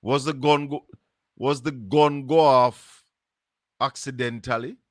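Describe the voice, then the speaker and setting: A Jamaican man with a Jamaican accent hosting a live stream, musing slowly and slipping into patois. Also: medium pitch, steady tone, no room sound, clean studio sound. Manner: slow, musing, drops into patois. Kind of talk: casual live-stream commentary.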